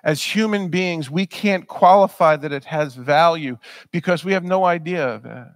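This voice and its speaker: A man's voice: fairly high pitch, normal speed, no echo, studio quality. Earnest man preaching from a pulpit. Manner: earnest, emphatic, repeats words when pressing a point. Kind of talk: sermon. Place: church sanctuary, speaking at a pulpit.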